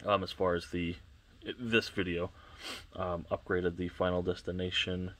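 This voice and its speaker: A man with a deep, low voice and a congested, sniffly sound, rambling through a casual tour of his home shelves. He speaks in a flat, even tone and often stops to correct himself. He is recorded on a camera on a tripod. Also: unhurried. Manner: rambling, offhand, self-correcting. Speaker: collector vlogger. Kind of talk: casual walkthrough of his collection.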